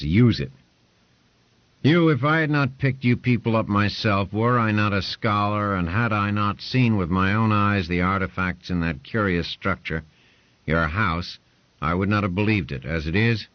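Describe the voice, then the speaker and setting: A man's voice: lowish voice, no echo, muffled recording, pitched above the performer's own voice, lightly acted. A man voicing a domineering male lord who talks in curt, commanding orders.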